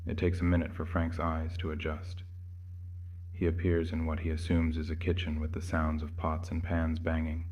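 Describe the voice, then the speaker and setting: A man's voice: extremely low pitch, monotone, normal speed, highs cut off, noisy background. A man giving scripted narration of a horror story as its narrator.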